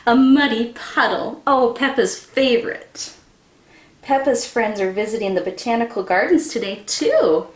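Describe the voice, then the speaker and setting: Very animated, high-voiced young woman reading aloud. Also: a little slow, echoey room. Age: young adult. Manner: exaggerated wonder, sing-song emphasis. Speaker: teacher reading storybooks to children. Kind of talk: animated children's read-aloud. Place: small room indoors by a window.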